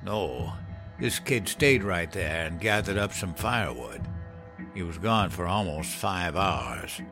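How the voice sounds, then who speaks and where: A man's voice: low voice, expressive, slow, heavily acted. An actor playing a haunted older countryman who tells a grim memory in a blunt, folksy, profane way, in dramatized audio fiction. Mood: grim, bitter. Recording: music behind the voice.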